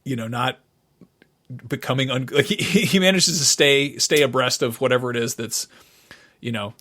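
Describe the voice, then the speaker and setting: A middle-aged man speaks at an average pitch as a podcast co-host. His delivery is informal and unscripted. He has a wry, irreverent way of putting things in loose conversation. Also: normal speed, a bit bright. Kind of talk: casual, unscripted chat.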